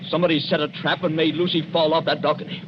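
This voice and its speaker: An actor playing a desperate, determined young husband, urgent and emotional, in a 1940s radio melodrama. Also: male voice, on the high side, level tone, quick pace, close to an everyday voice. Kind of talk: 1940s radio drama acting. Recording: muffled, noisy 1940s radio recording.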